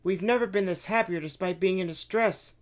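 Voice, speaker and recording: A man voicing an eager young boy excitedly recounting an adventure in a raised voice. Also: high-pitched, brisk, pitched above the performer's own voice, lightly acted. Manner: excited, breathless storytelling.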